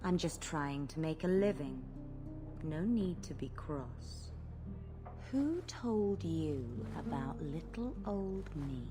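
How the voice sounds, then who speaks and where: Sultry game courtesan blood witch, low voice, slow delivery, sly and coy, music behind her. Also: animated. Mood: coy, teasing. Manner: languid, coy and teasing. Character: seductive, sly female courtesan who practises blood magic. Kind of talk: video game voice acting.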